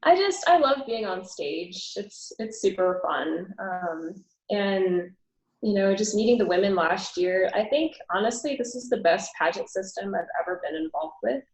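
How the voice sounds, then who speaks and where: Soft-spoken woman in an echoey room. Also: mid-range voice, lively intonation, moderate pace. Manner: soft-spoken, hesitant with many fillers. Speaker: pageant contestant. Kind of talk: casual interview answers.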